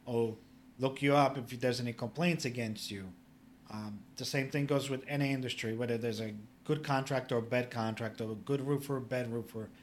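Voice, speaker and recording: A man's voice: medium pitch, steady tone, moderate pace, slight background noise. Man explaining plainly. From Poland. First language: Polish. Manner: plain-spoken and instructive, with filler "you know". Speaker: public adjuster, guest. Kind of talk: casual podcast interview.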